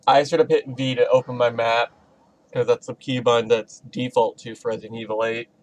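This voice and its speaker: A man's voice: medium pitch, flat delivery, a little fast, darkish tone. A man giving casual, playful commentary as he plays a video game, full of informal asides. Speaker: gaming video host. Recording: faint game noise behind. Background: video game sounds.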